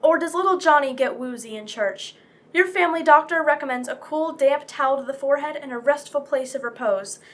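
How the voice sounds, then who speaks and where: A woman's voice: brisk, a bit bright. A woman playing an earnest old-time radio announcer reading a scripted commercial. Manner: earnest sales pitch.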